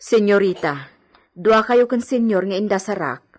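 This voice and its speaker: A woman's voice: medium pitch, expressive, normal speed, close to an everyday voice. A woman playing a respectful but gossipy household cook in a radio drama. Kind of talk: Ilocano radio drama acting.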